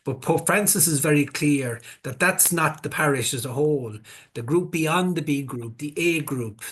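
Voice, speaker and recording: A man hosting a parish workshop, talking in a measured way and repeating words as he searches for them. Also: mid-range voice, fairly bright tone, husky. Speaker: workshop host.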